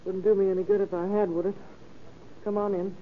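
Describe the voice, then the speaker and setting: A woman's voice: medium pitch, flat delivery, brisk, lightly acted. Defensive American housewife, played by a woman, weary and hesitant, thin vintage radio sound with faint noise. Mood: resigned, bitter. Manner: hesitant, guarded answers. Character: wary, defensive, weary wife of a suspect. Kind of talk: old-time radio drama acting. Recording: tinny, noisy old radio recording.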